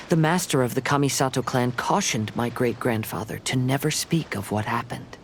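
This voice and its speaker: An actor playing a gentle, thoughtful young samurai in a video game, speaking in a calm, measured, formal way. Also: male voice, mid-range voice, a little hiss or hum, natural voice. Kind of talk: video game voice acting, storytelling. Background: faint game music.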